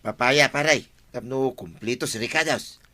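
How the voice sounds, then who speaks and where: A man acts out a cheeky villager in an Ilocano radio comedy drama, always wheedling. His voice sits at a middle pitch with a sharp, bright edge. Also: normal speed, natural voice. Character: cheeky neighbour begging favours of the captain.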